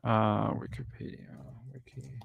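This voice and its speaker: A man's voice: medium pitch, fairly even intonation, unhurried, gravelly, breathy edge, dry recording. A man from Sydney with an Australian accent, hosting a livestream in casual, rambling chat with his viewers. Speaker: livestream show host.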